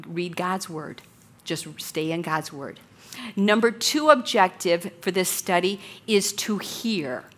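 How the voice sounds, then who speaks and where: Woman, Bible study teacher, loud and encouraging, bright voice. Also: mid-range voice. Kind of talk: women's Bible study lesson. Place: meeting room with a blower.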